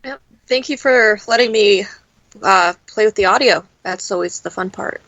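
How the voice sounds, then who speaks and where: A woman chats casually at a middling pitch. Her voice has a strong creak to it. The sound is dry, with almost no room around it. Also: moderate pace, fairly bright tone, no echo, lo-fi sound. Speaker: audio helper and self-described audio nerd. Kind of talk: casual chat.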